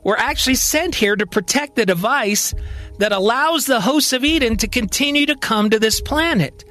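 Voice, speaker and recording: A man's voice: high voice, bright, forceful tone. A man narrating a scripted science-fiction drama as a storyteller. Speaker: storyteller and podcast host. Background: music.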